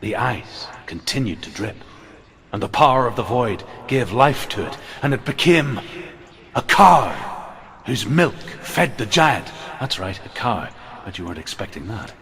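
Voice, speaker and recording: A man playing an earnest storyteller of old myths in a video game, measured and theatrical. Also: mid-range voice, big pitch swings, fairly bright tone, a little hiss or hum. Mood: wry, amused. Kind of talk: dramatic oral storytelling.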